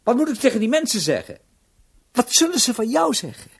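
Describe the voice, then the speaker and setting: A husky middle-aged husband in a radio drama. His pitch moves a lot as he scolds, exasperated, stern and dismissive. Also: very animated, fairly quick, exaggerated voice. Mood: scolding, indignant. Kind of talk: Dutch radio play acting.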